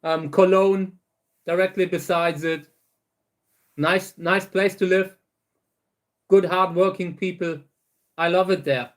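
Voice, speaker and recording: A man hosts a relaxed livestream and chats with his viewers. His voice sits fairly high for a man. He rambles along at an easy pace, often restarting words. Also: slow pace, pauses often. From Germany. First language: German. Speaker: host of a livestream channel. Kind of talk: casual livestream chat, answering viewers.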